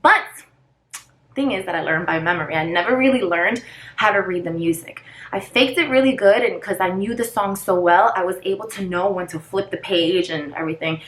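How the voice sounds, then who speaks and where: A woman's voice: on the low side, fairly quick, fairly bright tone, reverberant. A woman in her thirties whose first language is Spanish, chatting casually in a get-ready-with-me vlog while she does her makeup, rambling and losing her place now and then. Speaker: vlogger.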